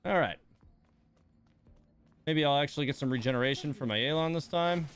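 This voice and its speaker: A man's voice: a little high, moderate pace, fairly bright tone, slight background noise. A man giving casual live commentary as he plays a game, muttering and grumbling his reactions. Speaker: game streamer.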